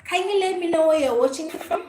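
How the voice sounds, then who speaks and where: High-pitched Kenyan woman chatting in an echoey room. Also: fairly quick, mellow. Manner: friendly, mixes English and Swahili, often thanks and greets viewers. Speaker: livestream host. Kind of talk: casual livestream chat. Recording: echoey room with faint background noise.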